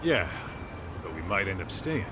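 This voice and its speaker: A man's voice: deep voice, very animated, normal speed, noisy background. An actor playing a casual, streetwise male detective in a video game, talking in a clipped, informal, wry way. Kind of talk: video game cutscene acting.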